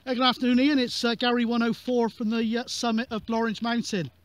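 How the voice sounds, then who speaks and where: A man's voice: very high-pitched, level tone, fairly quick. A man making casual chat and radio calls as a CB radio operator. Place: outdoors on an open moorland hilltop. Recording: outdoor recording with clear noise.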